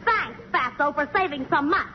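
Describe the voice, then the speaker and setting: A woman playing a sharp-tongued, sarcastic girlfriend who flings insults in a 1940s radio comedy. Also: high voice, expressive, normal speed. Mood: scornful. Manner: sarcastic, cutting. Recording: noisy, dull 1940s radio with audience.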